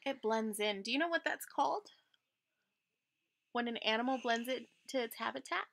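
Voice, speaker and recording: A woman's voice: on the high side, moderate pace, gravelly, a bit bright. A woman in her thirties reading a picture book aloud to children as a teacher, prompting with questions and wondering aloud. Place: indoors in front of a dark curtain.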